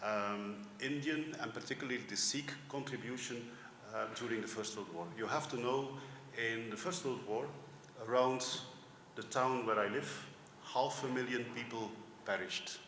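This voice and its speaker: A man from Flanders with a Flemish accent gives a semi-formal public speech as a guest, pausing often with ums. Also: mid-range voice, fairly bright tone. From Flanders, Belgium. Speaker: museum director, guest speaker. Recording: echoey hall with faint background noise.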